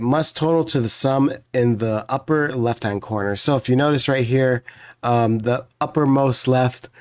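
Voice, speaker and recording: A man with a hoarse voice hosts a puzzle video, casually explaining the rules in a rambling, apologetic way. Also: mid-range voice, darkish tone. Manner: rambling, many fillers and restarts, apologetic.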